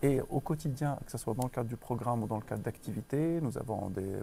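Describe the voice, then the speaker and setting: Un homme d'âge moyen, originaire du Maroc, directeur d'école en entretien, parle de façon posée avec beaucoup d'hésitations. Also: male voice, mid-range voice, gravelly, warm tone, a little hiss or hum. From Morocco. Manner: measured, many pauses and restarts. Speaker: school director. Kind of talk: interview.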